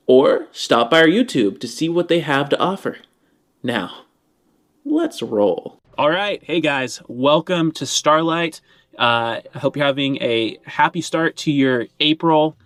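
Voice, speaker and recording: A man's voice: medium pitch, fairly bright tone, dry recording. A man hosting and narrating a tabletop game as game master, with casual, conversational storytelling. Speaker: game master and show host. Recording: background music under voice. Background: music.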